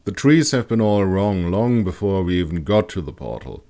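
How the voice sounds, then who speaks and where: A man's voice: low-pitched, expressive, moderate pace, dark tone. A man voicing a weathered middle-aged male scout, observant and matter-of-fact, in an audiobook. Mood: explaining.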